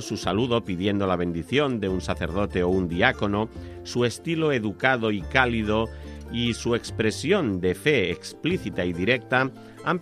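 A man reading a scripted news report in a measured, formal manner. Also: lowish voice.

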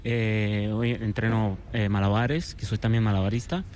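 A man with a Venezuelan accent answering interview questions casually, relaxed and a little hesitant, often repeating words. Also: fairly low pitch, moderate pace, a little hiss or hum. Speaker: circus artist, interview guest.

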